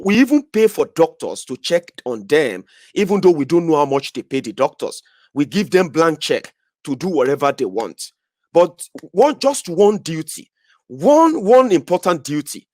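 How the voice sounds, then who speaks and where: A man's voice: a little high, darkish tone, no room sound. Emphatic Nigerian man, dry sound. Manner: emphatic, repeats phrases for effect. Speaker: political commentator. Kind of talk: passionate political monologue. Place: Scotland, indoors.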